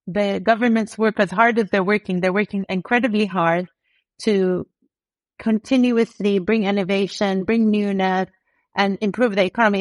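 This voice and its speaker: A woman in middle age who grew up in the UK, speaking as an interview guest. She is confident and animated, with a mid-range voice recorded close and dry. She builds her points with vivid examples. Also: medium pitch, no echo. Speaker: company co-founder, guest. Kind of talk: casual interview talk.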